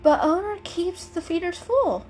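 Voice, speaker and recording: A woman voicing a gentle, anxious female cat with an earnest, hesitant manner in a dramatic storybook reading. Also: very high-pitched, very animated, measured pace, voice pushed up, full character voice. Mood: worried. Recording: music behind the reading.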